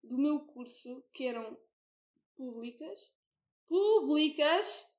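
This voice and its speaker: Young woman, European Portuguese accent, high-pitched, echoey room, hesitant podcast chat. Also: expressive, slow pace, a little mellow. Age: young adult. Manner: hesitant, full of fillers and restarts. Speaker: podcast co-host. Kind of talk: casual chat.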